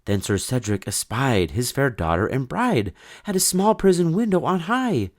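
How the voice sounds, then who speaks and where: A man narrating a rhyming children's story in an expressive, theatrical way. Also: mid-range voice, moderate pace. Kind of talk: dramatic rhyming children's story read-aloud.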